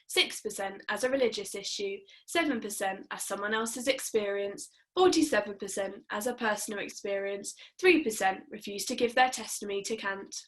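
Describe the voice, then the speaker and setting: An actress in a table read of a play, voicing an impassive official computer intelligence in a formal, detached, procedural way. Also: female voice, mid-range voice, a bit bright, close to an everyday voice.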